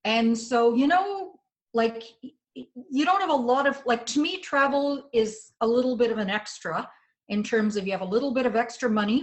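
An older woman from Canada with a Canadian accent, a guest on a podcast, telling stories from her past in a relaxed conversational way and remembering dates precisely. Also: fairly high pitch. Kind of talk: casual conversation.

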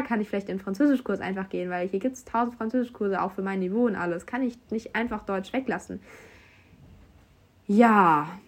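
Young woman from Germany, chatty storyteller, student, lively quoting of others, mid-pitched voice, casual and talkative. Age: young adult. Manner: chatty, animated quoting of others, frequent fillers. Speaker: university student abroad.

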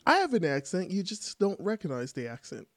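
The playful woman on a chatty stream telling small stories to her co-host, her pitch leaping about as she mimics people. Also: sing-song, a little fast, fairly bright tone. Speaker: co-host of a livestream podcast. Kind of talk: casual banter.

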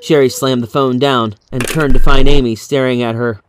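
A man's voice: mid-range voice, steady tone, moderate pace, background noise. A man reading a horror story as an audiobook narrator, in a dramatic scripted style.

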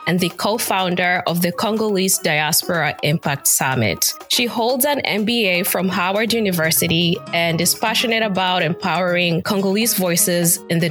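A woman's voice: fairly low pitch, a bit bright. A woman hosting a podcast, giving scripted introductions and interviewing a guest.

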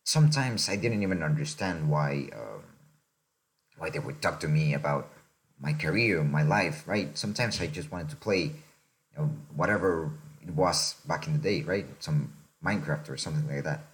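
A young man from Mexico, co-hosting a podcast in casual chat, reflective and unhurried, often ending thoughts with "right?". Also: very deep voice, expressive. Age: young adult. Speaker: podcast co-host.

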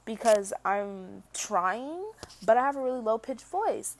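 A young woman in a casual monologue to camera, soft-spoken and prone to mumbling, rambling with lots of "like" and restarts. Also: a little high, sing-song, a little slow, slight background noise. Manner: rambling, full of likes and restarts. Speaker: vlogger.

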